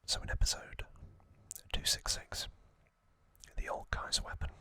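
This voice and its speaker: A man hosting ASMR, whispering trivia narration in a breathy, unvoiced voice with soothing, deliberate short phrases. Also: sharp tone. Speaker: ASMR host. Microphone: close microphone.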